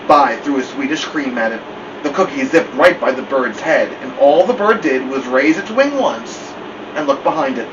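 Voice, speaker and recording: Man reading a story, distant under loud hum. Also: on the high side. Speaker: storyteller reading a novel aloud. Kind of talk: animated first-person storybook narration. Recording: dull, distant mic with loud air-conditioner hum.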